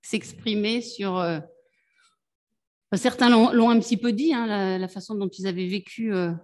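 A woman's voice: medium pitch, fairly even intonation, normal speed, darkish tone. A middle-aged woman moderating a panel over a video call from a room with bookshelves, speaking in an encouraging, conversational way. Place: home office with bookshelves.

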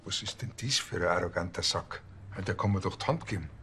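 Low-voiced grumbling Bavarian cop. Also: male voice, fast talker, pushed and bright, soft background music. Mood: offended. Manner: blunt, grumbling, colloquial. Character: grumbling, easily offended male Bavarian policeman.

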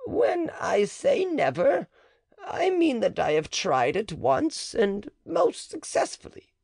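A man reading the part of a cautious, scheming older female midwife, pitching his voice up and sounding protesting. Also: wildly swooping pitch, slow pace, vocal fry, darkish tone, slightly rough, no echo, full character voice. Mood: wary. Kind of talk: audiobook character reading.

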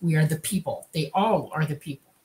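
An older woman with a Swedish accent co-hosts over a video call, her voice pitched on the low side. She talks in a chatty, casual way, with Swedish as her first language. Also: fairly quick, a little mellow, slight background noise. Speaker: co-host. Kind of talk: casual interview talk.

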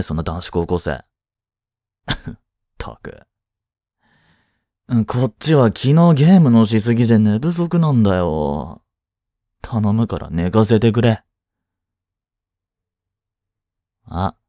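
A man playing a blunt high school boy in a voice drama, a jealous childhood friend who speaks casually and gets flustered. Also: mellow, dry recording, studio quality. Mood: grumpy, sleepy. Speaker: voice drama actor. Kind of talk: Japanese audio drama acting for women listeners.

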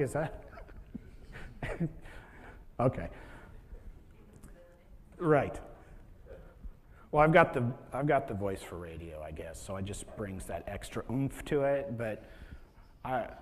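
A man's voice: mid-range voice, unhurried, long pauses. A man in his thirties preaching a sermon as pastor, chatty, with rhetorical questions and acted-out inner thoughts. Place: church sanctuary with a stage. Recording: long reverb of a church hall.

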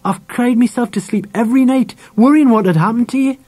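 A man's voice: extremely high pitch, brisk, darkish tone, no room sound, voice pushed up. A man voicing an anxious, tearful working-class mother in a storybook, fretful and reproachful.

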